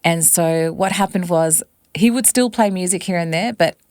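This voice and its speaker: A woman's voice: fairly low pitch, moderate pace, darkish tone, dry recording, clean studio sound. A woman in her forties from West Papua, whose first language is not English, telling her family's story as a guest in a podcast interview with earnest reflection. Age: 40s. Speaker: singer-songwriter guest.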